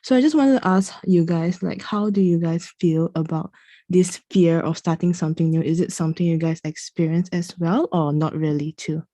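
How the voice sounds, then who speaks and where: A woman speaks on a group video call with a mellow, slightly low voice. She muses about her own habits, leaning on "like". The sound is dry and close. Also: normal speed, no echo. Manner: reflective, lots of "like". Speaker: nurse and participant. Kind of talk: casual group chat.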